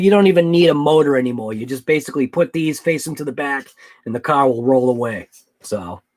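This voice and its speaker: A man's voice: medium pitch, a little mellow. A man hosting a casual late-night livestream about RC cars, thinking aloud and answering live chat. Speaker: RC hobby channel host.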